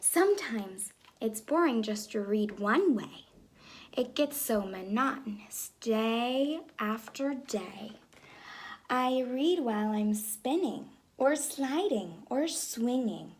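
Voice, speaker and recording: A woman teacher reading a picture book aloud in an expressive way, speaking to young children. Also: fairly high pitch, slow. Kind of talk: children's story read-aloud. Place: room at home with reading chair.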